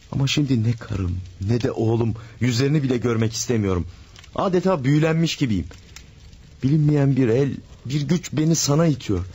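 A man's voice: mid-range voice, a little mellow, slightly rough. An actor playing an infatuated married man in a radio drama, pleading and overwrought in his passion. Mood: reckless. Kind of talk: 1970s-style radio drama acting.

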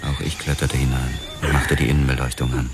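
An actor narrating as a world-weary police officer in a hard-boiled radio drama, terse and measured. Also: male voice, rumbling low, level tone, music in the background. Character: world-weary male cop narrating his case.

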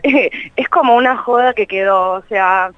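A woman's voice: medium pitch, moderate pace, no echo. Female phone guest, Buenos Aires accent, informal and giggly, dry slightly dull line. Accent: Buenos Aires Argentine. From Buenos Aires, Argentina. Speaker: interview guest, journalist. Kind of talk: casual phone interview. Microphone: telephone.

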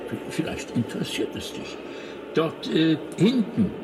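An actor playing an eccentric, proud older alien planet builder in a radio drama, rambling and hesitant, often dropping to a near-whisper. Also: male voice, fairly high pitch, huge pitch swings, a little slow, background noise. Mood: excited, confiding.

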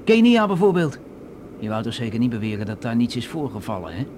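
A man's voice: medium pitch, sing-song, quick pace, noisy background, lightly acted. An actor playing a cocky, pushy young man in a radio play, slangy and insinuating, with some hesitation. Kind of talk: Dutch radio drama acting.

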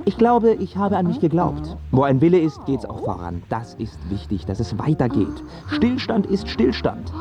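A man's voice: on the high side, very animated, normal speed, few pauses, music in the background. An actor in a radio drama playing a brash, vain male pop singer who boasts in slang and platitudes. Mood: boastful.

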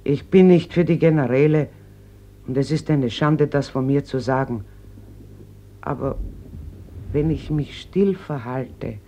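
A woman's voice: low-pitched, dark tone. An actress playing a stern, embittered mother in a radio drama, speaking firmly and without yielding. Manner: firm, unyielding. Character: stern, embittered Spanish mother guarding her family. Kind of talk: classic German radio drama acting. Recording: noisy old radio recording.

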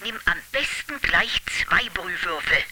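An actress playing a caring old village grandmother in a radio drama, expressive and full of fussy exclamations. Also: mid-range voice, unhurried, natural voice. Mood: caring, determined. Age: older. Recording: very noisy, clicking track.

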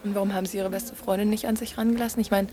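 A woman's voice: medium pitch, little pitch movement, racing, mellow. A woman asking direct, probing questions in a discussion.

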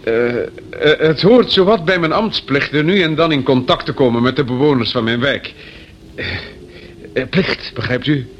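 An actor playing a fussy, awkward district police inspector in a radio play, officious yet apologetic, stammering and repeating himself. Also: male voice, medium pitch, moderate pace, lightly acted. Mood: officious, uneasy. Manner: hesitant, stammering, apologetic, repetitive. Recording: dull, faintly noisy old radio recording.

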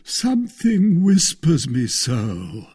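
A man acts out a proud musketeer from an adventure storybook, declaiming with pompous, wounded grandeur. The voice sits fairly high and swings widely, with a husky, rough edge. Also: expressive, a little slow, darkish tone, heavily acted. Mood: melancholy. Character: proud, melodramatically wounded male musketeer, scheming and flattering.

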